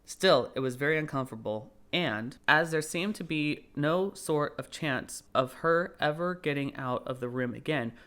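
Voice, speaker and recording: A man's voice: a little high, normal speed, few pauses, fairly bright tone, clear, resonant tone. A man narrating a children's classic as a scripted audiobook.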